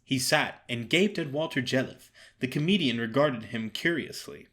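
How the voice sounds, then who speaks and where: A man narrating a story in a theatrical, formal and expressive way, as an actor performing an audio drama. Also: medium pitch, moderate pace.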